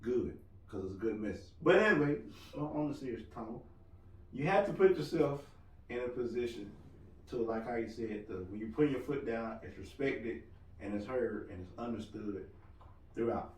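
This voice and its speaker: A married man co-hosting a casual podcast chat, loud-voiced, joking and rambling as he talks. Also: mid-range voice, expressive, moderate pace. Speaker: podcast co-host. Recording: roomy room, mic at a distance.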